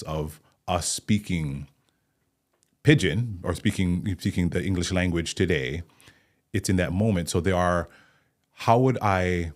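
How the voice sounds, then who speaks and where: A man from Hawaii, a guest actor and playwright, talking thoughtfully in a podcast interview and explaining at length with small restarts. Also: low voice.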